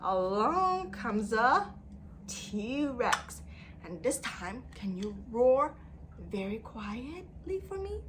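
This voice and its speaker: A woman's voice: a little high, sing-song, extremely slow, fairly bright tone, a little hiss or hum. A woman leading an interactive storytime lesson for young children, speaking in an exaggerated sing-song way with prompting questions and repetition.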